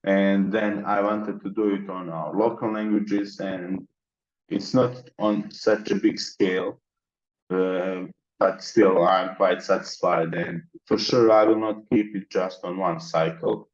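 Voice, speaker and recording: Very low voiced guy from the balkans walking through his plans step by step, kinda muffled lo-fi audio. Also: steady tone, takes it slowly. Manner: methodical, explains in long lists. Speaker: interview guest, mentorship organizer. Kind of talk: conversational interview.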